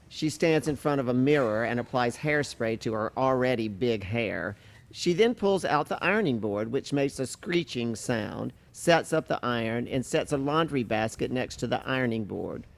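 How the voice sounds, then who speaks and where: A man's voice: medium pitch, expressive, normal speed, gravelly. Man reading stage directions. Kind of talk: scripted narration at a staged reading.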